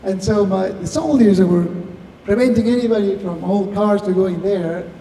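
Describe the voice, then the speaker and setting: Distant, high, mellow Argentinian man. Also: moderate pace. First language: Spanish. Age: 50s. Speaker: tech founder, guest speaker. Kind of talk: live Q&A, anecdotal talk. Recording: distant echoey hall, faint noise.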